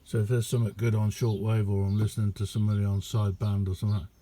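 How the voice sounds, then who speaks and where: Low, mellow-voiced man. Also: flat delivery. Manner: unhurried, halting, colloquial. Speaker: radio hobbyist showing a homemade receiver. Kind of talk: casual hands-on demonstration vlog. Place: indoor hobby radio room.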